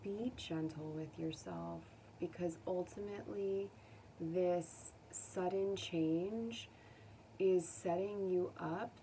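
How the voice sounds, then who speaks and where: Slow, lowish-voiced woman giving a gentle reading. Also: steady tone. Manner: gentle, consoling, informal. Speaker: tarot reader. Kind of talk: casual tarot reading addressed to viewers. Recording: distant mic, faint background noise.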